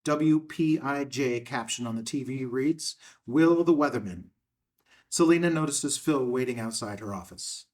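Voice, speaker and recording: A man reading screenplay stage directions as narrator in terse, measured, declarative phrases at a table read. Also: mid-range voice, far from the mic.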